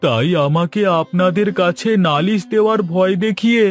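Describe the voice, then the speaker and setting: A man's voice: high-pitched, moderate pace, faint music behind, close to an everyday voice. A man voicing an anxious, humble village sweet-shop keeper in a cartoon, pleading and deferential. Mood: anxious, aggrieved. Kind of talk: animated cartoon voice acting in Bengali moral story.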